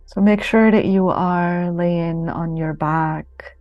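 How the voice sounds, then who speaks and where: A woman leading a guided yoga nidra meditation in a soothing, unhurried way, with long pauses between phrases. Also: lowish voice, steady tone, dry recording, dull audio. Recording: close dry voice over soft music. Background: soft music.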